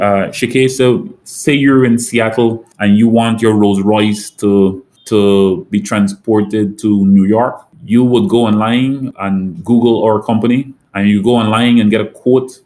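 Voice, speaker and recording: A man from Guyana talks through his business in an interview. His voice sits fairly low, and he answers in a measured way, walking through examples step by step. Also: a little mellow. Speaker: company president, guest.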